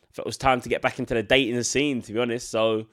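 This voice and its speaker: A man's voice: mid-range voice, level tone, fast, a bit bright. A young man in his twenties hosts his own podcast alone, his voice stuffy and nasal from a heavy cold. He talks casually and loosely, circling his points with a lot of filler words. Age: 20s. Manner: casual, rambling, many filler likes. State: congested from a cold. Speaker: podcast host. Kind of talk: solo podcast chat.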